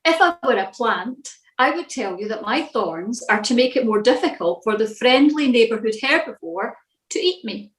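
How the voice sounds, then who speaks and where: A woman reading a scripted church devotional in a measured, reflective manner. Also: a little high, animated, echoey room, low-quality recording.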